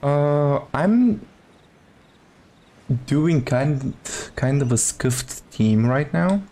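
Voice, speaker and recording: A man's voice: on the high side, lively intonation, extremely slow, dark tone. A man chatting casually as a live game streamer, hesitant and muttering to himself.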